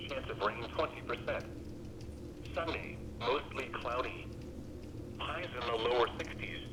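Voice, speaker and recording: A man's voice: medium pitch, measured pace, reverberant. A man's impersonal voice reading a formal weather forecast in clipped phrases over the radio. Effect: radio broadcast. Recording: noisy radio broadcast played nearby.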